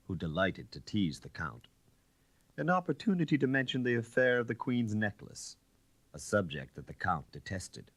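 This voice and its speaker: A man narrating an audiobook in a measured, formal and theatrical storytelling manner. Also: low voice, a bit bright, dry recording. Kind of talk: dramatic scripted storytelling.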